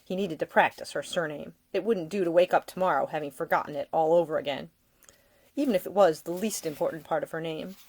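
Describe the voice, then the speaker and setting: Lowish-voiced woman narrating fiction. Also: fast, a little mellow. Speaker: author reading own audiobook. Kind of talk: expressive scripted fiction narration.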